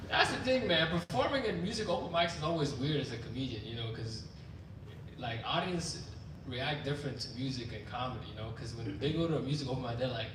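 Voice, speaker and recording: A man's voice: medium pitch, fast. A young man from Sudan, doing a loose, chatty stand-up set full of crowd work. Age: young adult. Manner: loose, chatty, heavy on "you know" and "guys". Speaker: stand-up comedian. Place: indoor open mic venue. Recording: roomy venue, distant mic, background noise.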